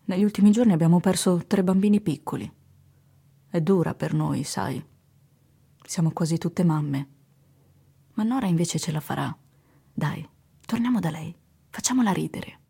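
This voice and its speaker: An actress playing a caring, steady hospital worker and mother in a radio reading, speaking softly and consolingly. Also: on the low side, moderate pace, creaky, pauses often, slightly rough, exaggerated voice. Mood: consoling, weary.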